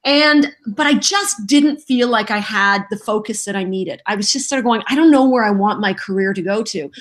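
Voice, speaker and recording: A middle-aged woman, a voice actress, chatting as a podcast guest about her start, telling stories in a lively, self-mocking way, just over a cold. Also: medium pitch, normal speed. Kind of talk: casual interview chat.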